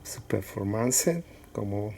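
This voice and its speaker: A man casually presenting items to viewers in short, halting phrases with pauses. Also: lowish voice, animated. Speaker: collector showing cassettes for sale. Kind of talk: casual product showcase. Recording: clear background noise with clicks.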